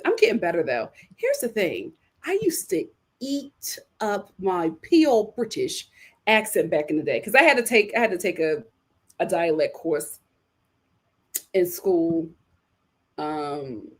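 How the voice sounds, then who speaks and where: A woman hosting a casual livestream, chatting and giving opinions in a theatrical, playful, emphatic manner with drawn-out words. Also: lowish voice. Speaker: livestream host reacting to viewer messages. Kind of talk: casual live chat and opinion commentary.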